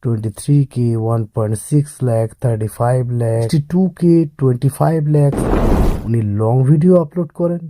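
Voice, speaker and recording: A man hosts a screen-recording tutorial in a very soft-edged, dark voice at a middling pitch. His recording is dry and close. He walks viewers through each step, repeating points and calling them friends. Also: no room sound. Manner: repetitive, addresses viewers as friends. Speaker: YouTube tutorial host.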